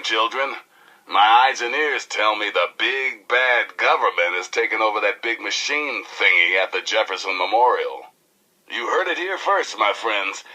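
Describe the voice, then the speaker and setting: A showy American wasteland radio DJ for a video game. His voice is husky yet very forceful, and it swoops widely as he delivers folksy, irreverent mock news and punchy patter. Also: medium pitch, full character voice, rough recording. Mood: sardonic, urgent. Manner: theatrical, wisecracking, folksy, drawn-out and punchy. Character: flamboyant, irreverent male post-apocalyptic radio DJ. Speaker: radio DJ and newsreader.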